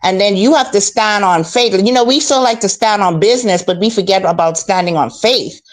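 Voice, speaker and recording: A woman from the Bahamas with a mid-pitched voice, chatting as a guest on a call-in show. She talks steadily and calmly, preachy at times and often repeating herself. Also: brisk. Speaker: guest commentator. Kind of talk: casual faith-based chat.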